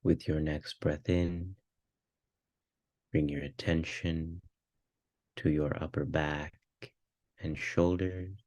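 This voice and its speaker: A man with a low voice guides a meditation at an extremely slow pace. He speaks calmly in short, measured phrases, leaving pauses between them. The sound is dry and close, without room echo. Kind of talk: guided meditation, spoken instructions.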